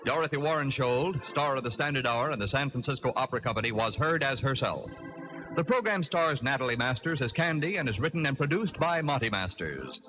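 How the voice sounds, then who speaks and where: Old time radio announcer guy, very lively and theatrical, loud music under him, vintage sound. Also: mid-range voice, brisk, poor audio.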